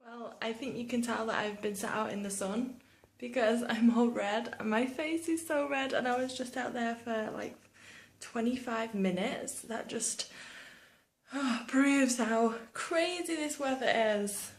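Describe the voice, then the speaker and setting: A woman's voice: on the high side, measured pace. A young woman from England, chatting casually in a daily vlog at home, her voice nasal from a blocked nose. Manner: chatty and excitable. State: congested, nasal. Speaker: vlogger. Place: home, indoors.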